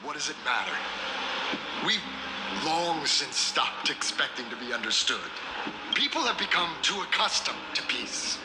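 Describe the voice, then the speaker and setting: A suave male assassin villain, all mock courtesy and theatrical phrasing, with a lively, swinging pitch. The game dialogue sits in very loud background noise. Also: unhurried. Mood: bitter. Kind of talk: dramatic game voice acting.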